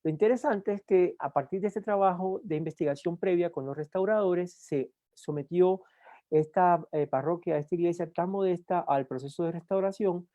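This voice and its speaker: A man from Cuba with a Cuban accent, lecturing at a university in an unhurried way, often pausing on "eh" and restarting words. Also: sits high, warm tone, no echo, dull audio. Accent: Cuban Spanish. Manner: unhurried with frequent "eh" fillers and restarts. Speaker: guest lecturer. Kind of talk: university talk.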